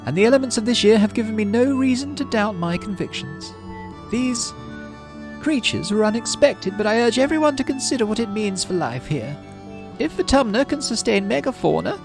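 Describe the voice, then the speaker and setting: A man voicing a proud female governor with a thin, weedy voice, making a formal speech in a narrated game. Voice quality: thin, weedy, high falsetto. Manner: stately speechmaking.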